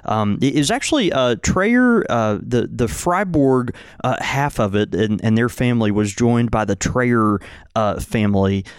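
A man's voice: mid-range voice, animated, unhurried, clean tone, no room sound, studio quality. A man co-hosting a casual podcast, chatting in a wry, easygoing way. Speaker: podcast co-host.

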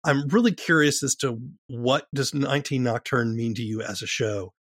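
A man's voice: mid-range voice, normal speed, fairly bright tone, no echo. Male podcast interviewer, very dry sound. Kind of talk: conversational interviewing.

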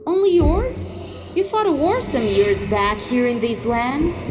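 An implacable female spirit of the mountain, solemn and accusing. The words come slowly and deliberately over prominent music, in a fantasy horror audio play. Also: high-pitched, big pitch swings.

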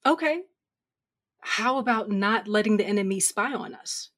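A woman's voice: medium pitch, animated, normal speed, fairly bright tone. A woman playing a blunt, sardonic comrade of a Sith in an audio drama, confrontational and exasperated. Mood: exasperated, challenging.

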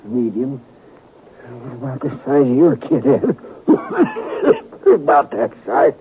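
An actor playing a badly wounded man in a 1950s Western radio drama, speaking in halting, air-filled breaths with bewildered grievance. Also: medium pitch, huge pitch swings, dull audio, exaggerated voice. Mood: weak, gasping. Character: wounded frontier robbery victim, bewildered and aggrieved, gasping. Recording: thin, noisy 1950s radio recording.

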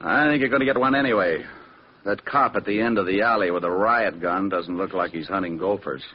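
An actor playing an easygoing, wry private detective, breezy and unflappable, in an old radio show. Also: male voice, deep voice, a little fast, slightly rough. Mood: wry, cool. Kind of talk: 1940s-50s radio acting and first-person narration. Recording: dull old-time radio recording.

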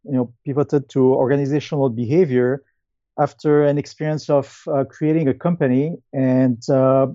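A man in his fifties with a French accent answers interview questions conversationally, in a hesitant, halting way with many pauses. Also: mid-range voice, steady tone, mellow, dry recording, dull audio. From France. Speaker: guest, company founder and CEO.

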